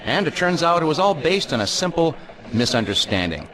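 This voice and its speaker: An actor playing a self-important cartoon news anchor, delivering a mock broadcast in a pompous, dramatic manner. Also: male voice, on the high side, sing-song, fairly quick, background noise. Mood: solemn, puzzled.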